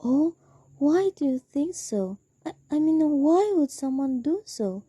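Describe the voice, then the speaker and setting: Young woman doing worried needy sick wife home alone, radio drama, really dark muted voice, dry recording. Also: sits high, expressive, unhurried, no echo. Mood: uneasy, defensive. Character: anxious, demanding invalid wife, alone at night. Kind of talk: student radio drama acting.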